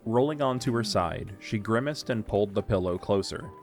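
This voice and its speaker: A man's voice: on the low side, lively intonation, normal speed, soft background music. A man narrating a scripted story for an audio drama.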